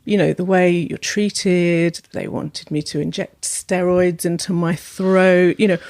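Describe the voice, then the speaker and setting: A woman's voice: fairly low pitch, steady tone, measured pace, gravelly, darkish tone, dry recording, studio quality. A woman, a sound therapist and former singer, telling her story thoughtfully in a podcast conversation with many ums and restarts.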